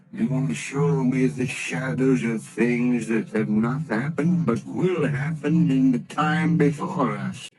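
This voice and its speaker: An actor playing a frightened old miser who pleads with a ghost in trembling, often whispered lines, in old-time radio drama style. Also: male voice, mid-range voice, darkish tone, rough recording. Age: older. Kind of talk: 1940s radio acting.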